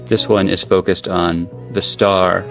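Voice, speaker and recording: Low-voiced male radio interviewer. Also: fairly even intonation, measured pace, gravelly, a bit bright. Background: music.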